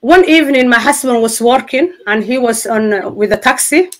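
A middle-aged woman from Somalia with a Somali accent, giving her personal testimony as a guest on a video call, earnest and halting with many fillers and restarts. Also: on the high side. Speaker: interview guest. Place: indoors in front of a city-skyline backdrop.

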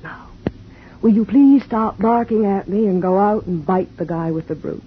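An actress playing a sharp-tongued young woman writer in a 1950s radio drama, sardonic and quick-witted, on a muffled old broadcast with faint hiss. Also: mid-range voice, close to an everyday voice.

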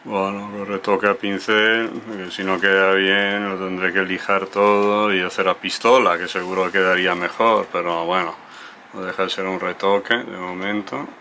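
A low-pitched man with a bright, forceful voice, talking casually as he walks through boat repairs. He is a non-native speaker whose first language is Italian, chatty and self-deprecating. Faint outdoor ambience sits behind him. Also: flat delivery, moderate pace, slight background noise. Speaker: sailing vlogger. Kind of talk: casual explaining while working. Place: aboard a sailboat.

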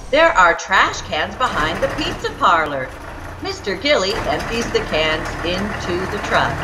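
A woman reading a picture book aloud to young children as a storyteller, with expressive, exaggerated emphasis and music behind her. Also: medium pitch, heavy background noise. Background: background music.

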